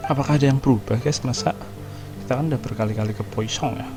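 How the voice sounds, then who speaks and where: A man's voice: mid-range voice, rapid-fire. A man with an Indonesian accent giving casual gameplay commentary as he streams, now and then idly sing-songing syllables. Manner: idle sing-song syllables while playing. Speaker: game streamer. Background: game music.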